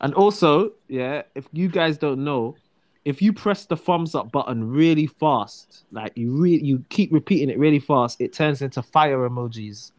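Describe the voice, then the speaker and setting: A man's voice: a little high, normal speed. A man co-hosting a live stream, chatty and laid-back, using street slang and laughing often. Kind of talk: casual live chat.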